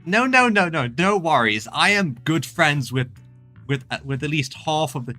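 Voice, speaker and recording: A man's voice: on the high side, lively intonation, normal speed, pushed and bright, soft background music. A man streaming a game and reading dialogue aloud, often stumbling and repeating phrases.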